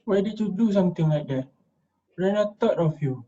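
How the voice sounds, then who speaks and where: A man's voice: high voice, animated, moderate pace, warm tone. A man playing an earnest, pleading student willing to take the blame, in an amateur reader's theater drama. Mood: pleading, accusing. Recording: dull lo-fi recording.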